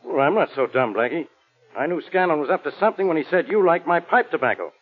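An actor playing a gruff, bossy, easily flustered police inspector in a 1940s radio detective drama. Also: male voice, on the high side, animated, fast talker, faint music behind. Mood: gruff doubt. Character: gruff, blustering, exasperated police inspector, adult man. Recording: muffled old-time radio recording.

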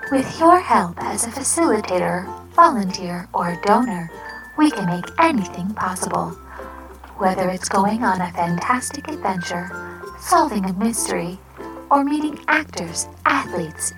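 A polished promo narrator, voiced by a woman for an orientation video in an audio drama. She sounds set back from the mic, with clear music playing under her. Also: mid-range voice, distant mic, low-quality recording. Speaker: presentation narrator.

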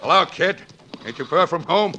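A tough United States marshal of the frontier in an old radio western. The American voice sits high and speaks plainly and firmly, with a folksy turn. The 1940s recording has clear noise. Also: male voice, animated, normal speed, pushed and bright, dull audio. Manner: plain-spoken, folksy, firm. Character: tough, determined frontier US marshal riding shotgun.

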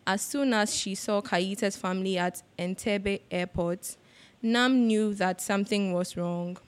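A woman's voice: mid-range voice, fairly even intonation, a little slow. A woman reading a piece of fiction aloud as scripted narration. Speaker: reader.

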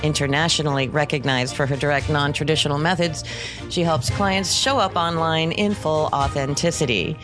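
Low-pitched woman podcast host. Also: normal speed, over music. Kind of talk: scripted podcast introduction, then casual interview.

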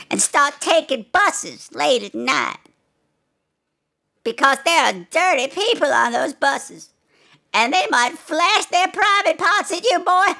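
A man voicing a bawdy old grandmother with a creaky voice, scolding in a drawn-out way for a comic podcast bit. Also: very high-pitched, bright, forceful tone, pitched above the performer's own voice, heavily acted.

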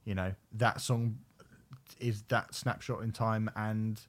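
A man's voice: fairly low pitch, steady tone, takes it slowly. A British man co-hosting a music podcast, easygoing and jokey, with casual slang. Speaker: podcast co-host. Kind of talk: casual chat about music.

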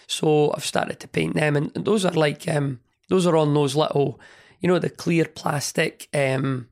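A man hosting a hobby podcast, chatting in a rambling, enthusiastic way with a hoarse, partly lost voice from a cold. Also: on the high side, steady tone, a little slow, a little mellow. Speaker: podcast host. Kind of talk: casual hobby podcast monologue.

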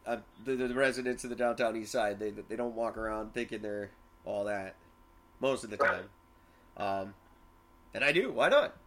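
A man's voice: on the low side, normal speed, fairly bright tone. A young man chatting casually on a podcast, brash and self-mocking, swearing freely. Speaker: podcast co-host.

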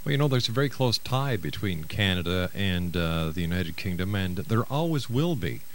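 A man's voice: low voice, lively intonation, moderate pace, a little hiss or hum. A man hosting a live radio talk show, interviewing and announcing to listeners.

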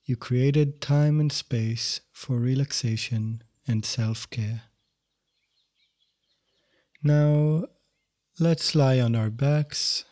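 A man teaching yoga nidra, guiding a meditation in gentle, measured, unhurried phrases. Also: medium pitch. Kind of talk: guided meditation instruction.

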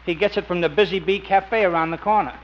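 An actor playing an affable, wheedling, sentimental small-time burglar in 1950s radio comedy, speaking in casual, folksy slang. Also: male voice, high voice, steady tone, fast, close to an everyday voice. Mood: breezy, wheedling. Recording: muffled, faintly noisy old radio recording.

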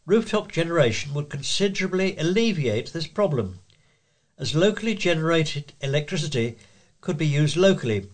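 A man's voice: a little high, lively intonation, moderate pace. A man reading letters and local items aloud as a volunteer news reader.

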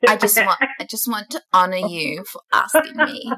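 A woman's voice: mid-range voice, animated, moderate pace, crowd noise. A woman chatting casually with a friend in a teasing, playfully dramatic way. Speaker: podcast host. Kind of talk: casual chat between friends.

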